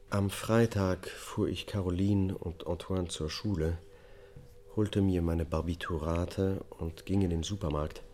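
An actor playing a subdued, haunted man on trial for killing his family, testifying in a measured, matter-of-fact way in a radio drama. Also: deep voice, a little mellow.

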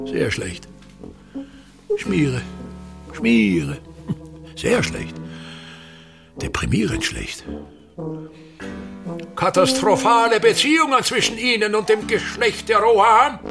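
A man's voice: high-pitched, vocal fry, heavily acted. An older actor in a radio play, playing a pompous, embittered old touring stage actor and tyrannical father who declaims and complains theatrically. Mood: declaiming, self-critical.

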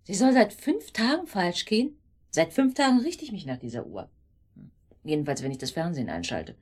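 A doubting, uneasy wife in a naturalistic radio drama. Her voice is very low and husky, with wide movement in pitch. She questions in a probing, insistent way. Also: sing-song, moderate pace, darkish tone. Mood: puzzled, insistent.

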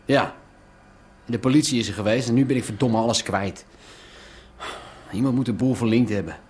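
An actor playing the sullen grown son of a crime boss in a radio drama, hesitant and defensive. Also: male voice, medium pitch, moderate pace, a little mellow, natural voice. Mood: defensive, upset. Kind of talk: naturalistic radio drama acting.

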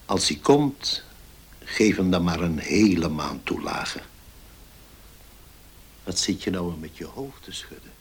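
An actor playing an older, well-off father in a radio play, indulgent and gruffly dignified, weighing his words. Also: low voice, sing-song, takes it slowly, pauses often, full character voice. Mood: generous. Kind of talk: Dutch radio play acting.

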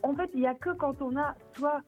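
A woman on a radio debate show, sharing a thoughtful view at length and pausing often to find her words. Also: high-pitched, narrow, tinny audio, soft background music. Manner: reflective, thinking aloud with hesitations. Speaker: debate participant.

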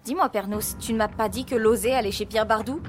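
An actress playing an earnest, determined sister and amateur investigator in an audio drama. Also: female voice, on the high side, steady tone, a little fast, soft background music, lightly acted. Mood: urgent, persuasive. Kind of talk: French audio drama narration and acting.

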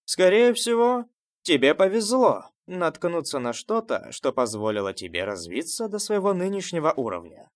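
Guy doing a proud young tribe leader for an audiobook, dignified speechy tone, lots of pitch movement, kinda fake generous. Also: big pitch swings, normal speed, few pauses, dry recording, close to an everyday voice. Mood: condescending. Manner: dignified, declamatory. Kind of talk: audiobook character voice.

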